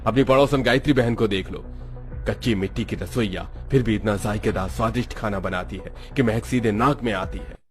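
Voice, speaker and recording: A man's voice: lowish voice, fairly quick, faint music behind, close to an everyday voice. A man voicing a dissatisfied, nagging husband in a cartoon story, with a complaining manner. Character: dissatisfied, nagging middle-class husband and father. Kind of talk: Hindi cartoon story acting.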